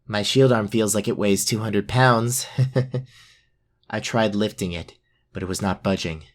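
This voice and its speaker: Man acting a low-voiced earnest young warrior. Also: fairly even intonation, unhurried. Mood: earnest narration. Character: young male fantasy warrior, self-doubting, brave, earnest. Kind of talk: first-person narration of an audio drama.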